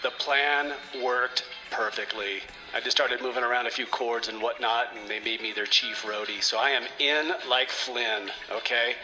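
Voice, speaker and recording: A man's voice: mid-range voice, fairly even intonation, normal speed, natural voice, rough recording. Man acting a chummy guy over music. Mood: excited. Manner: casual, chummy. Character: laid-back, excitable male time traveler leaving phone messages. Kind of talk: comedy radio theater. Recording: music playing behind the voice.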